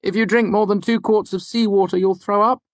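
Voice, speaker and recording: A man's voice: high-pitched, fairly even intonation, brisk, no room sound, pitched above the performer's own voice, natural voice. A man voicing a hesitant, eager-to-please male student in an audiobook, tentatively reciting facts. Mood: unsure. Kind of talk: audiobook character dialogue.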